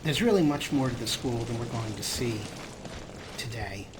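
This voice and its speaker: A man's voice: mid-range voice, animated, moderate pace, background noise. A man hosting a video game playthrough, mixing casual commentary with playful in-character replies. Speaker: Let's Play host.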